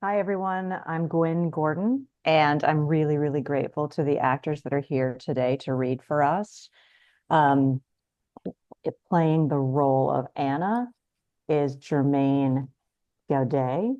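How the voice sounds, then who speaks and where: A woman's voice: sits low, a little slow, mellow, dry recording. A woman speaking as director and writer, introducing a cast over a lo-fi video call. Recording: dull lo-fi video call audio.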